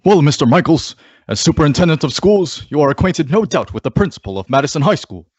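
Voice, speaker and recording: A man's voice: fairly high pitch, sing-song, quick pace, breathy edge, no room sound. A man playing a formal, indignant businessman father in an old-time radio comedy, with stiff manners. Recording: dull lo-fi radio drama audio.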